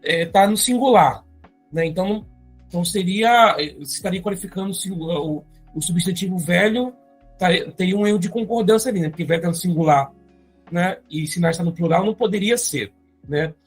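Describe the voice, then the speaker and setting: A teacher with a Brazilian Portuguese accent, going step by step through an exam-prep grammar lesson and often adding quick check-in tags. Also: medium pitch, husky, faint music behind. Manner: explains step by step, often adding tag questions.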